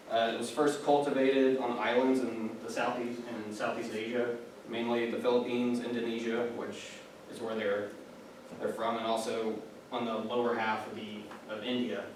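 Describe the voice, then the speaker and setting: A young man giving a casual, chatty classroom presentation, full of fillers and asides. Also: mid-range voice. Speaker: student giving a class speech. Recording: echoey room, distant mic, background noise.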